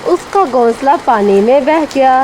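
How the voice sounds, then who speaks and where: A woman's voice: high voice, lively intonation, unhurried. A woman narrating a scripted children's story. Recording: faint rain and background noise.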